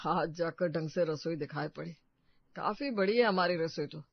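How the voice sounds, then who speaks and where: A man playing an older father-in-law, a calm, fair family elder with a measured manner in a story. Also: on the high side, gravelly, no echo, dull audio. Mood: approving.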